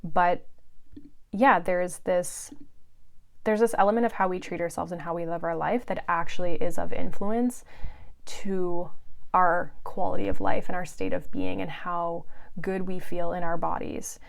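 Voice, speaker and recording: A woman in her early thirties sits at the mic alone for a podcast episode, talking in a chatty, unscripted way. Her voice sits a little low for a woman, and she drops in lots of likes and you-knows. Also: fairly even intonation, a little slow, vocal fry. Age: 30s. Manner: chatty, frequent fillers. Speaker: podcast host. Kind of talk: casual monologue.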